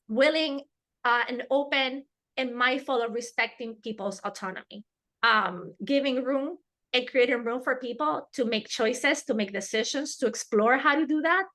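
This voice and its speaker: A woman with a Spanish accent teaches a webinar over a video call. Her voice sits a little high and sounds bright and clear. She talks earnestly and repeats key words to drive them home. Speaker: webinar presenter.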